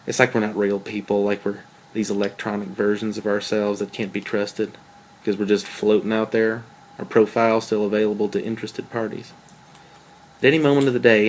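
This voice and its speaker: A man's voice: lowish voice, a little hiss or hum, lightly acted, rough recording. A man reading as a jealous, needling boyfriend. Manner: questioning, conversational, wordy. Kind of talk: table read of a two-person stage play.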